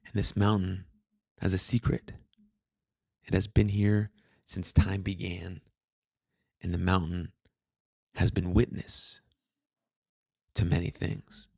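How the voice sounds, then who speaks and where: Slow, deep gravelly male meditation guide. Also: no echo. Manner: calm, soothing, unhurried with long pauses. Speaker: meditation guide, podcast host.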